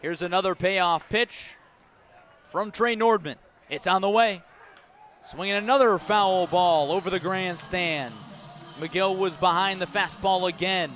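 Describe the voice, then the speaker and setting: A man's voice: sits high, measured pace, fairly bright tone, a faint crowd, slight background noise. An American man calling live baseball on the radio as play-by-play announcer, narrating dramatically in short, clipped phrases. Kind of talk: live radio sports commentary.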